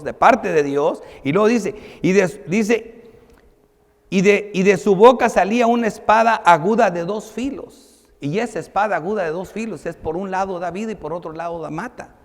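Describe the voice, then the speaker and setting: Guy pastor preaching bible verses, pretty high voice, emphatic then quieter, big echoey church hall. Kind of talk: sermon, reading and explaining Bible verses. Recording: long hall reverb.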